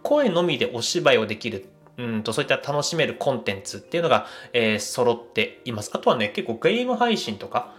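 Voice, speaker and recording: A man hosts a casual solo audio show at a quick pace. His pitch sits in an average male range. He explains things in halting, hedging phrases, as someone talking off the cuff to listeners. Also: very animated, a bit bright, faint music behind. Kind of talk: casual solo podcast talk.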